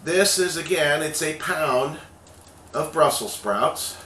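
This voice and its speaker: A man in his fifties talks slowly through a home recipe, chatty and unhurried, with a slightly congested voice. He is recorded on a webcam in a kitchen with a noticeable roomy echo and a faint hum of background noise. His voice sits at an ordinary middle pitch for a man. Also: animated, pushed and bright. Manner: slow, unhurried, chatty and homely. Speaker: home cooking host. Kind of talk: casual cooking video.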